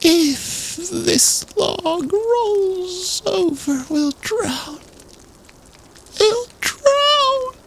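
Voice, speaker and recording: An eerie, shrill voice, pitched very high, wails slowly from a haunted house. Each word is stretched into a desperate cry, fit for a spooky audio drama. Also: male voice, lively intonation, gravelly, fairly bright tone, slight background noise, exaggerated voice. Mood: desperate wailing. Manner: drawn-out, wailing.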